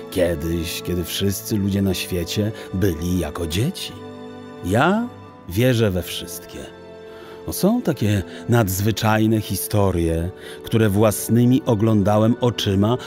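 A man narrating a children's fantasy audiobook in a solemn, dramatic, literary storytelling manner. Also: lowish voice.

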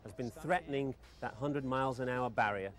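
Measured male documentary narrator. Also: medium pitch, a bit bright, faint music behind. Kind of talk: scripted narration.

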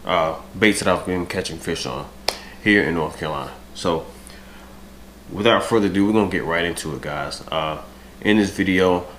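A man's voice: low voice, a bit bright, slight background noise. An American man in his thirties talking casually to camera at home, his voice stuffy and congested from a cold. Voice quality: stuffy nasal voice. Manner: frequent throat clearing. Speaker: fishing vlogger. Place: home dining room with large windows.

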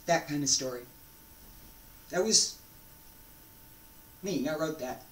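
A woman in her sixties giving an informal invitation to camera, speaking deliberately in short phrases with pauses, recorded at home on a distant microphone. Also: low-pitched, lively intonation, a bit bright. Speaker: founder of a radio drama show. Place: carpeted room at home, indoors. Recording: echoey room, distant microphone.

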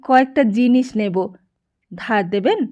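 A woman's voice: a little high, expressive, measured pace, a little mellow. A woman voicing an uneasy, trusting male villager in an audiobook story, speaking in a hesitant way. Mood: embarrassed. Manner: hesitant, tentative. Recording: dull lo-fi narration recording.

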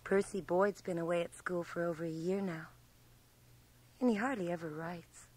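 A woman's voice: on the low side, steady tone, moderate pace, darkish tone, natural voice. A woman playing a coy teenage small-town girl in a radio drama. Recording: 1980 radio drama from home cassette transfer.

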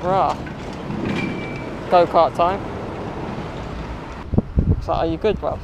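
Gravelly man vlogging outdoors. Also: fairly high pitch, steady tone, extremely slow, dull audio, background noise. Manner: chatty, playfully boastful asides. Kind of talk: casual vlog chat to camera. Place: outdoors on suburban streets and in a park. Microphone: camera mounted low on his wheelchair.

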